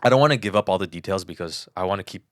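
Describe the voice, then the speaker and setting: A man's voice: sits low, rapid-fire, no echo, studio quality. A man co-hosting a podcast in casual chat, telling personal stories emphatically and repeating words for stress. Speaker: podcast co-host.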